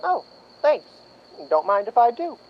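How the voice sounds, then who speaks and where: Casting: actor as jovial, chatty male gatekeeper, drawling slowly with big pitch swings, radio drama, muffled noisy sound. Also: sing-song, full character voice. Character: chatty, folksy male studio gate guard. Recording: dull, noisy recording.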